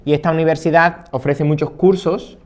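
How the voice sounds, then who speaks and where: A man's voice: fairly high pitch, steady tone, moderate pace. Hesitant young man, casual chat. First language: Spanish. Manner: hesitant, many fillers and restarts. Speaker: interpreting master's student.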